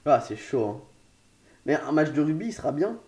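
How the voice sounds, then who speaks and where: A man's voice: fairly high pitch, big pitch swings, moderate pace, darkish tone. A man co-hosting a laid-back podcast, mostly backing up his partner. He agrees readily and throws in joking remarks as the conversation goes. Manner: chatty, agreeing, joking asides. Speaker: podcast co-host. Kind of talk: casual podcast chat.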